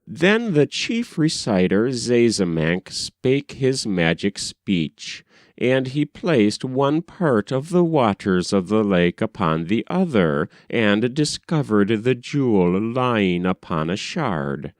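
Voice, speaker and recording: A man narrating an ancient tale as an audiobook reader, in a measured, solemn storytelling manner. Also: lowish voice, very animated, no room sound, clean studio sound.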